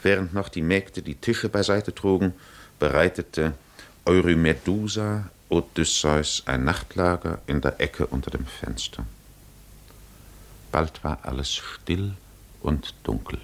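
An actor playing a grave storyteller of an ancient epic, narrating deliberately in literary 1950s German radio drama style. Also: male voice, low-pitched. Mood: grave, atmospheric.

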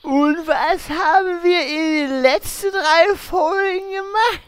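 A woman's voice: very high voice, slow pace, no echo. A woman playing Horst's part in a parody audio drama, a childish, naive and easily confused sidekick with a whiny, hesitant delivery. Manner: whiny, hesitant, simple-minded.

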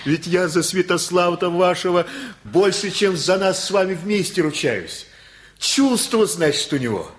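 Simple-hearted older working man for a radio play, rather high-pitched, earnest, folksy pleading. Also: animated, normal speed. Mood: soothing, earnest. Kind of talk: Russian radio play acting.

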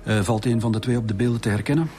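An actor playing a cautious, formal police director in a radio drama. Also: male voice, medium pitch, rapid-fire, dark tone, natural voice. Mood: businesslike. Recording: music under the dialogue.

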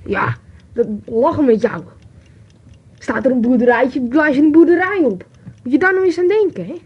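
A high-pitched, whiny searcher, acted by a woman in an amateur radio adventure. The delivery is excitable and full of exclamations. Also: normal speed, slightly rough, highs cut off. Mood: excited, urgent. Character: whiny, disgusted, excitable searcher aboard a plane. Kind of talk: amateur radio play acting.

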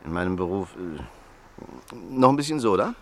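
A man's voice: low voice, very animated, normal speed, fairly bright tone, a little hiss or hum. An actor in a radio play voicing a stocky, pushy, jovial American neighbor in his fifties who talks insistently and chuckles.

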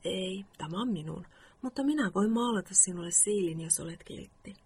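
Storybook moomin mother, played by a woman reader: motherly, proud, gently firm, some creak in the voice. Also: medium pitch, animated. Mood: firm but kind.

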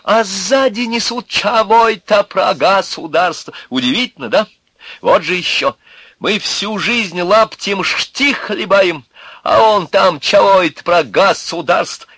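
A man voicing a furious eccentric in a dramatized story, shouting and sarcastic, ranting with spiteful, defiant glee. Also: high-pitched, expressive, a little slow, slightly rough, dry recording, voice pushed up, full character voice. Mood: gleeful ranting.

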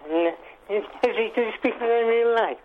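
A man calling a radio show, speaking haltingly and repeating himself. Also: very high voice. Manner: halting, repetitive, struggling to get words out. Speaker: caller. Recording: noisy, muffled phone line.

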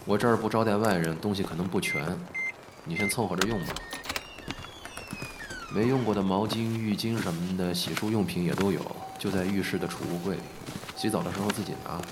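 A man's voice: sits low, steady tone, brisk, noisy background, natural voice. A man playing a teasing, secretly caring big-brother entertainer in a Chinese audio drama, languid and grumbling yet indulgent. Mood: languid, bossy. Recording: music behind the voice.

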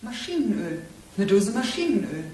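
Brisk, pointed sister, played by a woman, slightly noisy. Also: medium pitch, very animated, dark tone, natural voice. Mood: emphatic, pointed. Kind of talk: German radio play acting.

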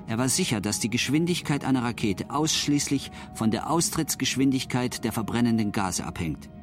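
A man's voice: mid-range voice, expressive, moderate pace, faint music behind. A man reading scripted documentary narration for the radio.

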